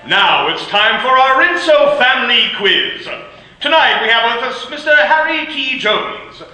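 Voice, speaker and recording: A man putting on a chirpy 1940s radio quiz host for an ad, brisk and salesy. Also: extremely high pitch, sing-song, sharp tone, soft background music, pitched above the performer's own voice, heavily acted. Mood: chipper. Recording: echoey room recording.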